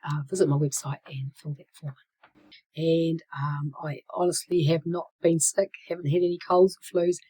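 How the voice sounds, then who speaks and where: A woman in her sixties talking casually to her channel, hoarse and croaky from a cold, with many fillers and restarts, sometimes dropping to a whisper. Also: deep voice, flat delivery, moderate pace, mellow. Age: 60s. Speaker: channel host. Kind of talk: casual vlog talk.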